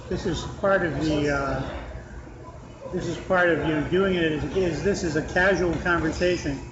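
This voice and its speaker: A man with a nasal voice hosting a podcast, rambling in casual chat with many restarts. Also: on the high side. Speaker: podcast host. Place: restaurant with a fan. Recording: muffled sound with clear background noise.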